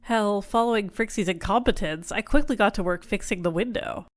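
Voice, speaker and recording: Woman acting as jealous cat girl, mid-pitched, smug, catty, sweetly possessive, ASMR roleplay. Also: brisk, natural voice. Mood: smug, disdainful. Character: jealous, snide, obsessive cat person rival.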